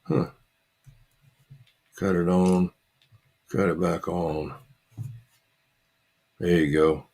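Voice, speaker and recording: A man with a fairly low voice hosts an informal livestream at a very slow pace. He talks in halting, repeated phrases to his viewers and often mutters asides to himself. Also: level tone, gravelly, darkish tone. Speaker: livestream host. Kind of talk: casual livestream chat with viewers.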